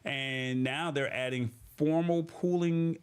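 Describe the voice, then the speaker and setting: A man's voice: a little high, fairly even intonation, slow pace, clear, resonant tone. A man hosting a tech livestream, talking informally and folksily to his viewers in a casual, chatty way. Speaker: host of a tech livestream show. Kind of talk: casual livestream chat, reading comments and news.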